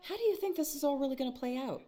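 Blunt young woman, animated delivery. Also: sits high, very fast. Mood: scornful, insistent. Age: young adult. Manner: sarcastic, confrontational. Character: blunt, scornful young woman, exasperated with her friend. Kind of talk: audio drama acting. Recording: music behind the voice.

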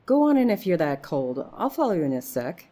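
A woman's voice: lowish voice, sing-song, fairly quick, warm tone. An actress playing a blunt, sarcastic young woman who loves to party, in a naturalistic audio drama. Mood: teasing. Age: young adult. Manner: sarcastic, teasing.

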